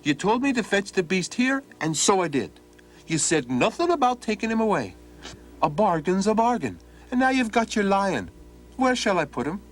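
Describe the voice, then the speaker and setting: A man's voice: sits high, very animated, moderate pace, pitched above the performer's own voice. A man voicing a cheeky, clever young farmhand with a sly, innocent-sounding manner.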